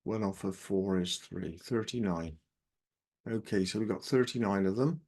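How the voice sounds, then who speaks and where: A man with a croaky voice, working through exam maths questions aloud step by step as a tutor. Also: deep voice, normal speed. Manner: steady step-by-step, talks himself through sums.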